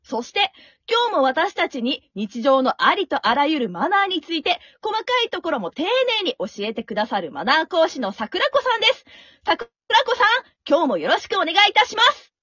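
A woman as a lively, polite show assistant. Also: high voice, normal speed, no room sound, dull audio, exaggerated voice. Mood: welcoming. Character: polite female show assistant, straight man.

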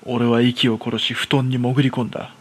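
A self-deprecating shut-in in his thirties, played by a man tells his own horror story in a radio play. His voice is mid-pitched, and he speaks in a rough, colloquial, masculine way with a jittery temper. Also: little pitch movement, moderate pace, natural voice. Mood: frightened. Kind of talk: first-person horror story narration, radio drama.

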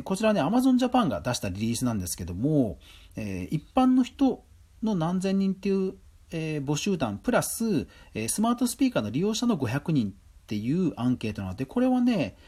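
A man's voice: fairly high pitch, mellow, breathy edge. A man hosting a podcast, running through news items and adding his own conversational commentary. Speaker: podcast host. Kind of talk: news roundup commentary, semi-scripted.

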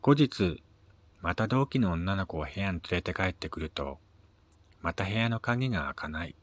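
A man narrating a first-person short story in a measured, dramatic reading with pauses. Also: low voice, animated, dry recording. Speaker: amateur reader of his own short stories.

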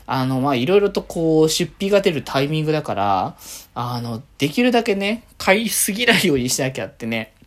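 A man talks alone as a podcast host in a casual, conversational style. His intonation is very lively, rising and dipping often. He rambles and rephrases himself as he goes. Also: mid-range voice. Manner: rambling, self-correcting. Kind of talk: casual solo talk.

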